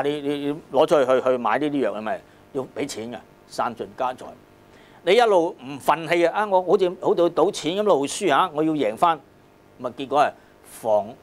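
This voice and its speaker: A male lecturer with a Hong Kong Cantonese accent and a mid-pitched voice. He explains an old text in plain colloquial speech, stressing key words, repeating phrases and tagging points with rhetorical questions.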